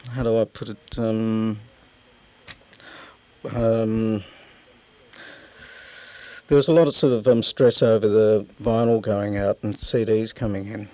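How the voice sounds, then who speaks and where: Hesitant man, mellow and very dry. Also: mid-range voice, dry recording, rough recording. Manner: hesitant, halting, feeling for questions. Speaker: interviewer. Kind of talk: informal arts radio interview.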